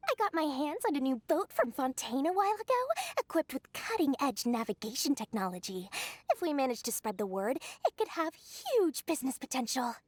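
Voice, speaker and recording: Theatrical, high-voiced, wily game character. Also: female voice, very animated, brisk, hardly any pauses, no room sound. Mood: boastful, businesslike. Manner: theatrical, wordy. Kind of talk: English video game voice acting. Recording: dry studio voice with music behind.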